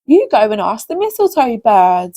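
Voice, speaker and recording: Woman voicing pixie auntie, storybook character, kindly, knowing, patient, fairly high, melodic. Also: sing-song, normal speed, warm tone. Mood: patient, advising. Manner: patient, advising.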